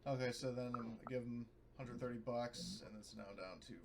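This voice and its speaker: A man's voice: mid-range voice, brisk, creaky. A man playing a tabletop game, chatting casually and thinking aloud in a hesitant way. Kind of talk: casual chat during a game. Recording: noisy microphone with clicks.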